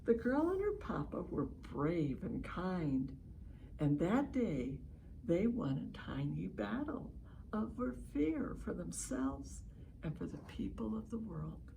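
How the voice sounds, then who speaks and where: Expressive, slow-paced woman reading to children. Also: fairly low pitch, creaky, a little hiss or hum, rough recording. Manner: encouraging, expressive, lingers on phrases and prompts listeners. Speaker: read-aloud host for children. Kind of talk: children's storybook read-aloud with questions to young listeners. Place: at home, online session.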